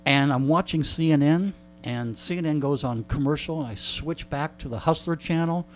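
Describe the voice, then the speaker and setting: A man's voice: a little high, normal speed, a little hiss or hum. A man telling stories in casual comedic banter, joking and mock-indignant, acting out bits of dialogue. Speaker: radio host being interviewed.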